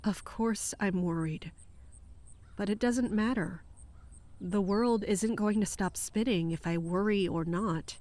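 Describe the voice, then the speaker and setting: A woman's voice: mid-range voice, takes it slowly, a little mellow, natural voice. Woman playing a stoic, fatalistic captain. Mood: grim, resigned. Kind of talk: audio drama acting.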